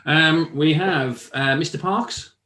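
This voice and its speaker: A man hosting an online networking call, calling people in turn in a brisk, informal way. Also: a little high, pushed and bright, reverberant. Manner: brisk, informal, repeats "brilliant" between people. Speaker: host. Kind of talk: casual online meeting hosting. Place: video call. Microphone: video call.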